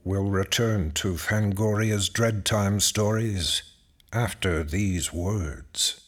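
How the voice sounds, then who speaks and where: A man announces a horror series in a dramatic, scripted style. His voice is very deep and extremely slow. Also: fairly bright tone. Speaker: program announcer.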